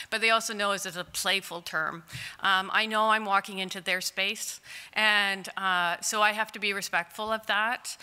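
A woman in her forties, a clay artist, answering audience questions in a chatty, candid way with a loud voice and frequent laughs. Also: mid-range voice, smooth. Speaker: clay artist in long-term care. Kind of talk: conference Q&A answers. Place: conference hall. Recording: distant in a large reverberant hall.

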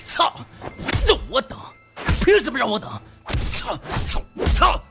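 A man puts on an arrogant young gangster from a wealthy family in an audiobook. He is curt, menacing and contemptuous, with a pitch that leaps around over faint music. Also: sing-song, a little slow, vocal fry, exaggerated voice. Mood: enraged. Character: violent, arrogant young gangster rich boy. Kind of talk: audiobook character acting.

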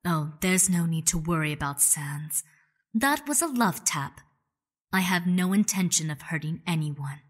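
A woman voicing a motherly monster queen in a cartoon dub, polite and composed, gentle but firm. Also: fairly low pitch, expressive, a little slow. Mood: reassuring. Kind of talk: animated comic dub acting. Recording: long hall reverb, faint music.

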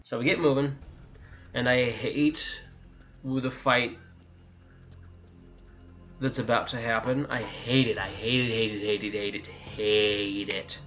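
A man's voice: mid-range voice, steady tone, slow pace, pauses often, a bit bright, dull audio, soft background music. A man giving a casual, sweary, exasperated video game walkthrough commentary.

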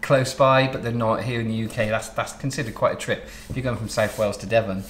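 A man in his thirties from Southwest England hosting a relaxed interview, chatting and explaining at length. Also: on the low side, fast, reverberant, slight background noise. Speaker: interview host, wedding photographer. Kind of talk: casual interview. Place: home studio office, indoors.

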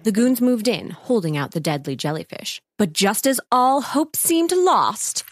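A woman's voice: on the high side, big pitch swings, unhurried, a little hiss or hum. A woman playing a wry narrator, delivering scripted comic story narration in an audio drama. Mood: wry, dramatic.

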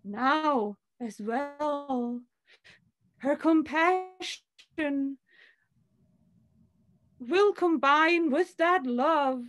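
A woman playing a solemn, forgiving rabbi in an amateur play reading over a video call, with deliberate, declamatory delivery. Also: high voice.

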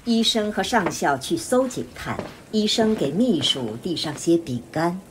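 Low-pitched, expressive woman narrator. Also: unhurried, background noise. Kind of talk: scripted radio drama narration. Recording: music behind voice.

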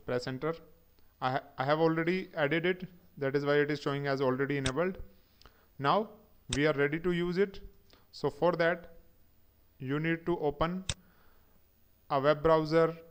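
A man's voice: fairly high pitch, fairly even intonation, moderate pace, pauses often, a little hiss or hum. A man giving a step-by-step tech tutorial over a screen recording, methodically spelling out each command. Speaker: instructor.

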